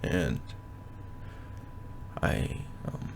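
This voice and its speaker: A young man giving a casual, rambling progress update from his bedroom, often pausing to think aloud. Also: slight background noise. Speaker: language learner vlogger. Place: bedroom at home.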